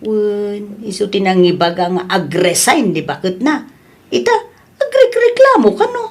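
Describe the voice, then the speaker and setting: A woman's voice: mid-range voice, sing-song, measured pace, a little mellow. A woman playing an older mother in an audio drama, chiding and exclamatory, concerned and meddling, with an Ilocano accent. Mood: scolding.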